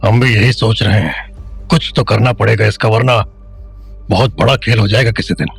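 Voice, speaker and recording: A man's voice: on the low side, fairly even intonation, normal speed, gravelly, husky, faint music behind, exaggerated voice. A man playing a weary, blunt, middle-aged small-town father in an audio drama. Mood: gruff.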